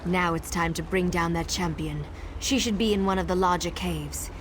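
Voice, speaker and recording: Practical, secretive elf woman for game quest dialogue , acted by a woman: lowish pitch, measured delivery, noisy background. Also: steady tone, close to an everyday voice. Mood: resolute, matter-of-fact. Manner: measured, conspiratorial instruction. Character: pragmatic female wood elf guide, secretive and resolute. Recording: game dialogue over clear background noise.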